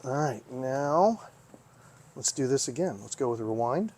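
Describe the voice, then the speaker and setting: A man's voice: medium pitch, animated, measured pace, a little mellow, slight background noise. A man giving casual hands-on commentary as he tinkers, thinking aloud and often asking himself questions. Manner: musing, talks to himself, asks himself questions. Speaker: vlogger, hobby repair host.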